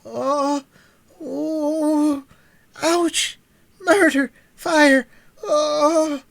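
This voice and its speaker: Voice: medium pitch, lively intonation, no room sound, voice pushed up, close to an everyday voice. A reader voicing a sulky, impatient young boy in a storybook. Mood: pained cries. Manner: impatient, quick to complain.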